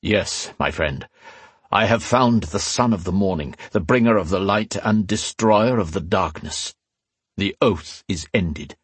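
Man voicing a solemn, low, hushed desert chief. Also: a little slow, slightly rough, no echo. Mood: solemn, content. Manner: formal, stately, ceremonious. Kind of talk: fantasy audiobook character acting.

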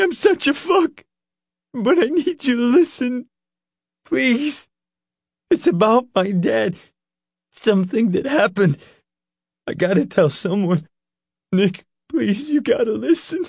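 A man's voice: a little high, expressive, unhurried, darkish tone, breathy edge, no room sound, studio quality, voice pushed up, heavily acted. A man voicing a frantic, guilt-ridden teenage boy in an audiobook, crying and pleading with a stammering, breaking voice. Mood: desperate, sobbing.

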